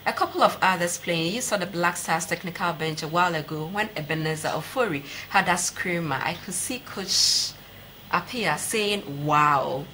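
A woman's voice: on the low side, normal speed, bright, forceful tone. A woman in her thirties with a Ghanaian accent, hosting a televised sports interview as presenter. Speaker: TV sports show host. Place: TV studio, seated at desk. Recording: clearly noisy studio recording.

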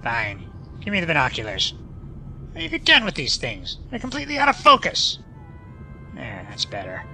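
A man playing a gruff, sceptical spaceship crewman in a sci-fi audio drama. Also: on the high side, wildly swooping pitch, moderate pace, bright, forceful tone, soft background music, full character voice, poor audio. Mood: irritated.

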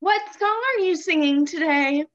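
A woman's voice: high voice, normal speed, a bit bright, a little hiss or hum. A woman playing a late, busy assistant director who talks in a syrupy, condescending Southern style. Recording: dull lo-fi sound.

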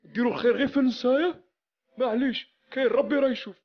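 A man's voice: very high voice, racing, lo-fi sound. A man voicing a poor, proud man in a comic cartoon, grumbling sarcastically in an Algerian Arabic accent.

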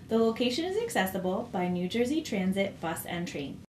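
A woman reads a public announcement aloud with clear, even delivery and a lively, rising-and-falling pitch. The room around her rings with echo, with a faint hiss of ambience underneath. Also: brisk. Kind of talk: read public service announcement.

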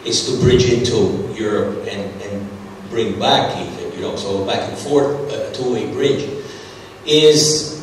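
A middle-aged man speaks on stage at a conference, pausing often with fillers and restarts. He sounds far from the recorder, and the sound rings around a big, reverberant hall. A faint hum sits behind him. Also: on the low side. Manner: hesitant, many fillers and restarts. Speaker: conference presenter. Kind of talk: conference Q&A discussion. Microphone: stage mic, recorded from far off.

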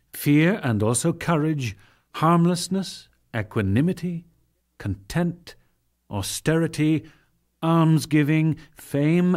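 A man performing a solemn divine lord in a dramatic scripture reading, declaiming in measured phrases with deliberate pauses. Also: medium pitch, a little mellow. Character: Krishna, solemn divine lord teaching a devotee.

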